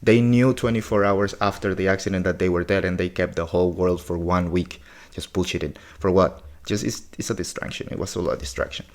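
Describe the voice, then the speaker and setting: A man's voice: sits low, fairly even intonation, a little fast. A man in his forties recapping a podcast episode in a casual, blunt, opinionated way, swearing often. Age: 40s.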